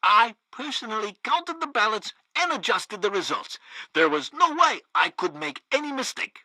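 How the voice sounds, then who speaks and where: An actor playing a zealous, loyal regime radio host in a comedic video game, with a pompous, theatrical delivery. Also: male voice, very high-pitched, big pitch swings, moderate pace, creaky, pushed and bright, no room sound, heavily acted. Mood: smug, earnest. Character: zealous loyal propaganda radio host, male, banana-republic sycophant.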